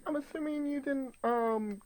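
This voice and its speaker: A woman's voice: high-pitched, unhurried, a little mellow. A woman hosting a casual interview, asking questions and giving short friendly prompts. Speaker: interview host.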